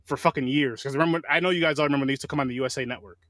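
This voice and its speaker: A man hosting a podcast in casual chat, talking quickly and swearing freely. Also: mid-range voice. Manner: rapid, animated, swears freely. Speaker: podcast host.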